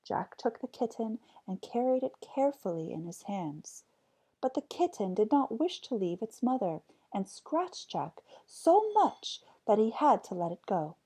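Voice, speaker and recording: A woman reading a folk tale aloud as an expressive storyteller. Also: a little high, measured pace, husky.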